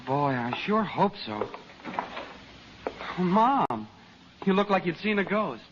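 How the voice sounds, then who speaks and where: A high, boyish young American nephew, excitable and full of slang. He speaks emphatically in 1940s radio drama style. The old recording is dull and clearly noisy. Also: takes it slowly, exaggerated voice. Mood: shaken, relieved.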